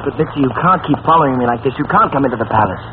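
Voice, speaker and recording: An actor playing an earnest, flustered young army officer in an old radio comedy, deferential and pleading. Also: male voice, medium pitch, animated, racing, close to an everyday voice. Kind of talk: 1940s radio comedy acting. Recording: noisy, tinny old-time radio recording.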